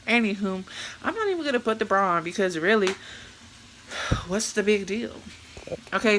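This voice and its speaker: A mid-pitched woman talks through her cooking in a relaxed, rambling way. She throws in crude jokes between steps. A frying pan sizzles clearly in the home kitchen behind her. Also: slight background noise, rough recording. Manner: bawdy, joking, rambling. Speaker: cooking vlogger. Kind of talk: casual cooking chat.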